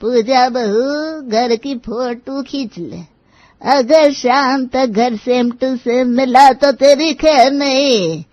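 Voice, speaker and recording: A woman voicing a bossy, demanding old grandmother-in-law in a cartoon moral story, imperious and scolding, with drawn-out words. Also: sits high, no echo, muffled recording. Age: older.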